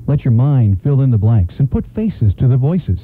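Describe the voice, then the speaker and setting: A man's voice: mid-range voice, very animated, normal speed. A man hosting a radio drama program, speaking in the style of an evening show introduction. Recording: narrow-band phone-like radio sound.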